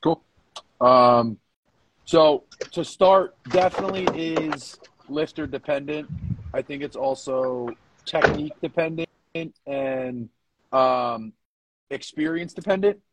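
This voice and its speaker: A man's voice: fairly high pitch, slow, background noise. A man co-hosting a podcast in a laid-back, informal, bantering way. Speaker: podcast co-host. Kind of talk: casual chat with banter.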